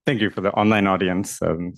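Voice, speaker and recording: A man moderating a conference panel, introducing speakers in a loud, projecting voice. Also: sits low, steady tone, a little fast, no room sound, studio quality.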